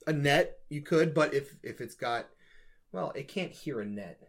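A man's voice: a little high, animated, measured pace, vocal fry. A man co-hosting a podcast, chatting casually and riffing with jokes. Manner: joking, riffing. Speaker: podcast co-host. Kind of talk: casual comedic chat.

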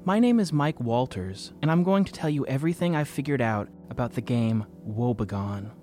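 A man's voice: mid-range voice, lively intonation, moderate pace, natural voice. A man playing a wry, guarded young man, narrating in a dry, self-deprecating, conversational way as if confessing on his own podcast. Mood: wry confession. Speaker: narrator and protagonist of an audio fiction podcast. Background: instrumental music.

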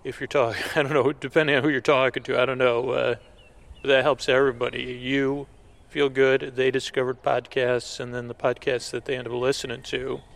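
A man with a creaky voice hosting a podcast, speaking casually in a low-key, halting, meandering way to his listeners. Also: little pitch movement, fairly bright tone. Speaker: podcast host. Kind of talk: casual, rambling thanks and sign-off to listeners.

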